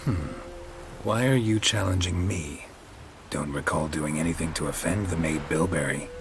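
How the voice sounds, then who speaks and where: Super deep voiced monster hunter, stoic, terse, dry deadpan, game cutscene. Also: male voice, normal speed, music in the background. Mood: dry, unimpressed. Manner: deadpan, laconic, clipped. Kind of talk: restrained video game acting.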